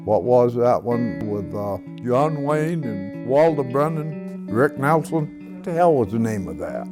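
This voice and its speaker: A man's voice: medium pitch, expressive, measured pace. A man, recalling his life in a terse, plainspoken way. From Maine, USA. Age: 80s. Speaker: grandparent being interviewed. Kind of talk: casual personal reminiscence. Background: loud acoustic guitar music.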